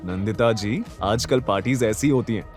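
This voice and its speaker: A man's voice: fairly low pitch, moderate pace, a little mellow, faint music behind, lightly acted. Cocky, teasing young man, acted by a narrator. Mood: mocking, amused. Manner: sarcastic, confident.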